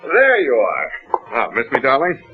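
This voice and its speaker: A breezy, teasing husband. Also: male voice, medium pitch, wildly swooping pitch, measured pace, soft background music. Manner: light, teasing, polished. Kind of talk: 1940s radio acting. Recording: dull lo-fi 1940s radio broadcast.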